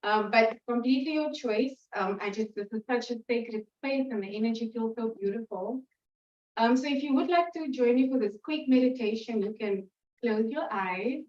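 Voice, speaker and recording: A woman's voice: on the high side, normal speed, a little mellow. A woman from South Africa, a poet, chatting with an audience before her reading in an informal, giggly, emotional way. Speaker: poet reading at a literary event. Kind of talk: warm, chatty stage talk to an audience. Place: reading event room with audience. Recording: echoey room, far from mic, dull sound.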